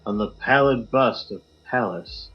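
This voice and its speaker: A man guesting on a podcast, reading a poem in a measured, low dramatic delivery over a video call. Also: husky, highs cut off, faint music behind.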